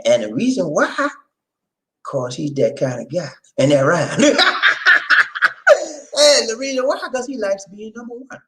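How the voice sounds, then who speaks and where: A man with a Lowcountry Geechee accent hosts a livestream in a loose, chatty way. His pitch swings widely as he preaches and jokes with viewers. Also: low-quality recording. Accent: Gullah Geechee, South Carolina Lowcountry. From Charleston, South Carolina. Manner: lively, preachy, laughs often, talks to viewers as y'all. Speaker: livestream host. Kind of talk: casual livestream chat.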